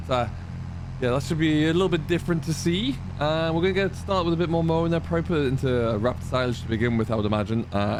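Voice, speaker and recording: Chatty man rambling over farm-game vehicle noise. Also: medium pitch. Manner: chatty, rambling, thinking aloud. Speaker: host of a farming simulator roleplay series. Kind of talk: casual gameplay commentary in farmer roleplay.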